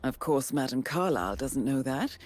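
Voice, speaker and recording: An actress playing a calm, analytical female mission handler who talks an assassin through the clues. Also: a bass voice. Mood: thoughtful. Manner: measured, analytical. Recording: music behind.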